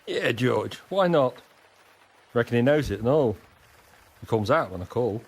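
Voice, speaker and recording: An actor playing a cheeky, droll private in a First World War radio drama, chatty and teasing. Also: male voice, medium pitch, lively intonation, a little slow, breathy edge. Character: cheeky, droll WW1 private fond of a rat.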